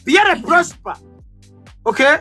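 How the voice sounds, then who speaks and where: A man's voice: very high-pitched, very animated, takes it slowly, pushed and bright, faint music behind. A man in his thirties hosting a heated political debate panel, accusatory and emphatic, hammering rhetorical questions. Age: 30s.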